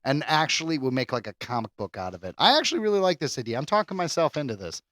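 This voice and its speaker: A man's voice: medium pitch, very animated, fast, a bit bright, dry recording, studio quality. A man in his thirties co-hosting a podcast, joking around, laughing often and swearing casually. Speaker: podcast co-host. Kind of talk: casual comedic banter.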